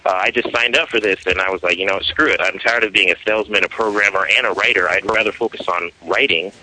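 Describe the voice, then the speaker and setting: A man in his twenties, a podcast guest, talking in a relaxed, easygoing way in a casual interview. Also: fairly low pitch, fast talker, slight background noise, low-quality recording. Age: 20s.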